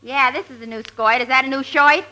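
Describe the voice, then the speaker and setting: An actress playing a flip, deadpan New York working-class girlfriend in 1940s radio comedy. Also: sits high, brisk. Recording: noisy 1940s radio broadcast recording.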